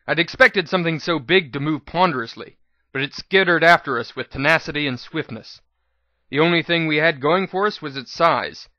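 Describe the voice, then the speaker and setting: A man's voice: fairly high pitch, bright, forceful tone, no echo, muffled recording. A man giving a dramatic first-person scripted narration as the reader of a fan fiction audiobook.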